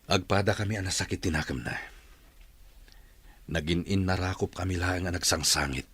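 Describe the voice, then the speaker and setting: A man's voice: low-pitched, moderate pace, background noise, natural voice. A man acting in a radio drama as a lovelorn man searching for his former sweetheart. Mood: wistful.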